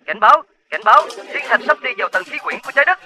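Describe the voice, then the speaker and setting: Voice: high-pitched, expressive, very fast, pushed and bright, full character voice. An actor voicing an urgent warning machine in a cartoon, repeating alerts over music. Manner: urgent, repetitive.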